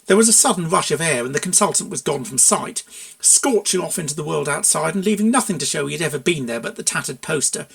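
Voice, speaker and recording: A narrator reading a comic fan fiction story aloud in an expressive storybook style. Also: medium pitch, fast talker, vocal fry.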